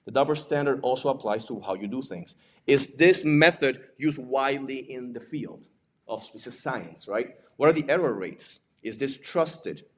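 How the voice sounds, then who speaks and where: A man's voice: medium pitch, expressive, moderate pace. Puerto Rican man lecturing, noisy recording. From Puerto Rico. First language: Spanish. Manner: conversational, asks rhetorical questions and tags points with "right?". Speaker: presenter, digital forensics examiner and instructor. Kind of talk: informal lecture to a live audience with questions.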